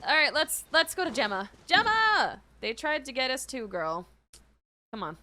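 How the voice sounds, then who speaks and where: Female game streamer: bright, high voice, excitable slangy reactions, faint music behind. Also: moderate pace. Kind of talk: casual live gameplay commentary.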